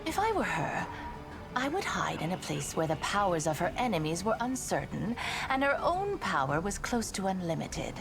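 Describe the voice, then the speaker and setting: A sly female demon from a fantasy game, speaks in a lowish voice that swoops and lilts. She is theatrical, self-assured and mockingly polite. Loud music plays behind her. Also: sing-song, normal speed, creaky. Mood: scheming, assured. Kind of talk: video game voice acting.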